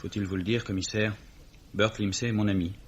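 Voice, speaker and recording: An actor playing an earnest, fussy young English gentleman, plaintive and polite, in 1950s French radio drama style. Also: on the low side, fairly even intonation, fairly quick. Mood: pained, earnest. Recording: dull, slightly rough old radio recording.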